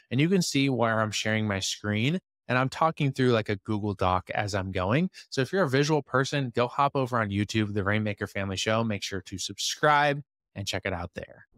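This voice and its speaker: Male podcast host, mid pitch, slightly hoarse, energetic and chatty, dry studio sound. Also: dry recording. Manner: energetic, chatty, many fillers and asides. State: slightly hoarse from losing his voice. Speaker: podcast host and business coach. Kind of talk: casual talk to a community call.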